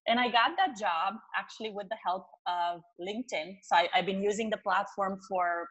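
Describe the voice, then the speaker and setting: A woman from Romania with a Romanian accent, a career coach chatting as an interview guest in a quick, upbeat way. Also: mid-range voice, steady tone, fairly bright tone, highs cut off. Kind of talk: interview guest, casual chat.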